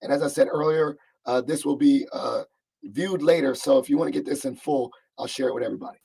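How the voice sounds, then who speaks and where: A man's voice: mid-range voice, quick pace, creaky, mellow, slightly rough, poor audio. A man hosting a show over a video call, upbeat and rambling, laughing often as he introduces his guest. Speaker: podcast host. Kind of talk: casual chat.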